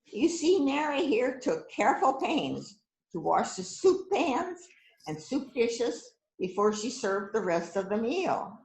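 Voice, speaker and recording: Self-assured, musing detective for amateur stage mystery, played by a woman; unhurried, animated intonation, roomy sound. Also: fairly high pitch, full character voice. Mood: confident. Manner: deliberate, musing with frequent hmms. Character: shrewd, self-assured police detective, the boss, questioning suspects. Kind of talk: amateur readers theater murder mystery. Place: indoors on a virtual event call. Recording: roomy echoing video call sound.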